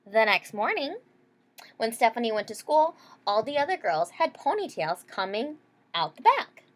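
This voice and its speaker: Animated woman reading a children's story. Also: on the high side, moderate pace. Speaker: storybook reader. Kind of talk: expressive children's read-aloud with asides.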